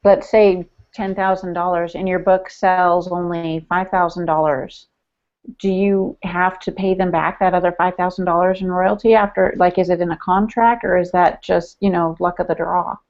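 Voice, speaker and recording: Female co-host for informal talk show, lowish voice, lo-fi audio. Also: fairly even intonation, normal speed, darkish tone. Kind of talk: casual chat, asking questions. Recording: dull, lo-fi podcast audio.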